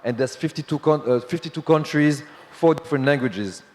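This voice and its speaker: A man moderating a conference panel, talking in a hurried, loose way with many fillers. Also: a little high, a little mellow. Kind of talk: panel discussion. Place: large conference hall. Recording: long hall reverb.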